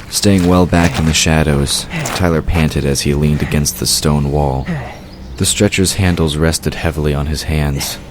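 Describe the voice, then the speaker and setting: Fantasy audio drama narrator: male, bass-deep voice, scripted storytelling, noticeable ambient noise underneath. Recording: clearly noisy background ambience. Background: outdoor ambience sound effects.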